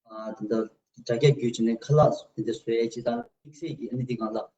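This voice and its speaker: Guy talking super fast with restarts, dark tone, dull far-off sound. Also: medium pitch, steady tone. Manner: rapid, halting, with restarts and simple grammar. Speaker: community leader and guest. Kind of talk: casual self-introduction. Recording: dull, distant mic.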